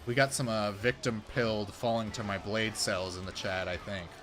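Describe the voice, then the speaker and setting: A young man rambling through casual gameplay commentary as a game streamer, swearing when frustrated, his voice going hoarse. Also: lowish voice, fairly even intonation, normal speed. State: losing his voice.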